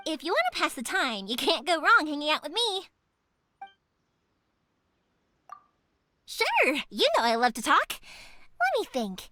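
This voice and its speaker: A woman voicing a cheerful, chatty young woman in a video game, with a girlish, expressive, earnest delivery. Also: sits high, exaggerated voice. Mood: cheerful, friendly. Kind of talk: video game character voice lines. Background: background music.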